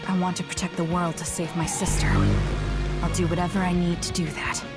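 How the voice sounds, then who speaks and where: A woman plays a stern young female soldier from an anime game. Her voice is on the low side and full of resolve, set against loud music. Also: flat delivery, brisk, natural voice. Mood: grim resolve. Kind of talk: English anime video game voice acting.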